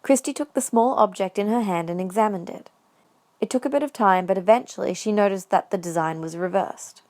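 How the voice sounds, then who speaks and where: A woman's voice: medium pitch, fairly quick, darkish tone. A woman reading scripted narration for a fan-made audio drama.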